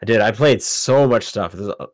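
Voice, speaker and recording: A man's voice: lowish voice, normal speed, no echo, clean studio sound. A man with a cold and a stuffy, sniffly voice, hosting a casual podcast and animatedly acting out the lines of people in his stories. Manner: animated storyteller, acts out quoted lines. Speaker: podcast host. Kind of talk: casual chat.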